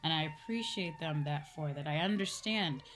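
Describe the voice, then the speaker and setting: A woman's voice: low-pitched, normal speed. A woman streamer chatting casually with her viewers, explaining in an informal, repetitive way and often checking in with "right?". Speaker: streamer, VTuber host. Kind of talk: casual livestream chat answering viewers. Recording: faint background music. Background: faint music.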